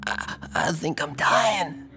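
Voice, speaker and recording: A man's voice: a little high, lively intonation, takes it slowly, sharp tone, heavily acted. An actor playing a wounded grown son in an audio drama, gasping in pain, stammering and pleading with his mother. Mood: pained whimpering. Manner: whimpering, stammering.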